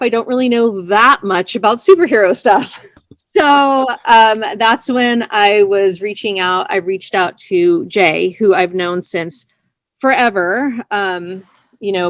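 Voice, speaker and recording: A woman being interviewed, telling stories casually in a chatty, expressive and self-effacing way. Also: medium pitch, dry recording. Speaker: interview guest, audio drama writer. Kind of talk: casual conversational storytelling.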